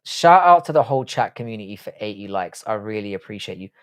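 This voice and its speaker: A man's voice: on the low side, expressive, fast talker, clear, resonant tone. A man in his forties with a South London accent, hosting a casual live stream, rambling, swearing freely and answering viewers' chat. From South London, England. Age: 40s.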